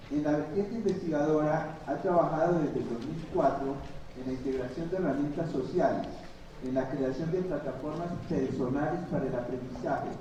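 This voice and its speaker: Man formally introducing a speaker. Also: mid-range voice. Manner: formal, listing credentials. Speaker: event host introducing speaker. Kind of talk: formal introduction read aloud. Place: conference hall. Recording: echoey hall from far off with background noise.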